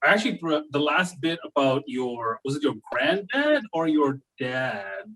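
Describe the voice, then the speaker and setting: A man judging a comedy competition, giving thoughtful, halting feedback over a video call. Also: fairly high pitch, very animated, fairly bright tone, poor audio. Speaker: competition judge.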